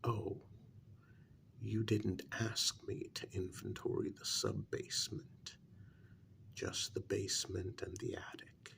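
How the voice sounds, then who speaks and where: A man playing a calm, blunt human familiar to a vampire queen in a soft, half-whispered ASMR role-play, speaking formally and deliberately. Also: fairly low pitch, creaky, slight background noise, full character voice. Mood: polite, puzzled.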